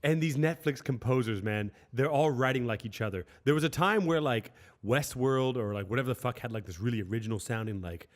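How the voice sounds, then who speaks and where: A man's voice: medium pitch, fairly quick, hardly any pauses. A young man co-hosting a podcast, chatting casually and ranting with lots of swearing. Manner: profane, animated ranting. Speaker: podcast co-host. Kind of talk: casual chat.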